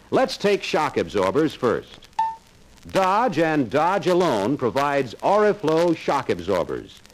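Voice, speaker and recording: A man's voice: medium pitch, rough recording. A man narrating a 1950s sales training film in a confident, persuasive salesman's manner.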